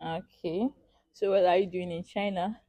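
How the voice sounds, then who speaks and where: A woman's voice: fairly low pitch, fairly even intonation, normal speed, warm tone, no room sound. A woman interviewing a student, asking friendly questions and paying compliments. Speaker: street interviewer.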